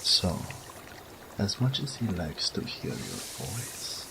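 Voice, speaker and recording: A man playing a seductive, possessive and doting male naga in an intimate romantic audio roleplay, speaking slowly in soft murmurs that drop into whispers. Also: deep voice, sing-song, creaky, noisy background, exaggerated voice. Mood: teasing, commanding. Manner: slow, third-person speech, soft murmurs dropping to whispers.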